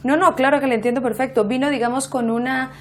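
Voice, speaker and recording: Casting: female TV interview host, slightly high-pitched voice, conversational delivery. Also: fairly even intonation, quick pace, over a noisy crowd.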